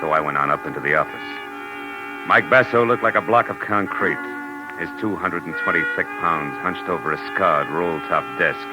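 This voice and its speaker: A deep-toned actor as a tough male private eye tells his story in terse, sardonic lines. It is a 1940s radio drama recording, with music prominent behind the voice. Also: moderate pace, lightly acted, rough recording. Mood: wry, tough. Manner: terse, hard-boiled, sardonic. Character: tough, wry hard-boiled private detective narrating his case. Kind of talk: 1940s radio detective acting, first-person narration.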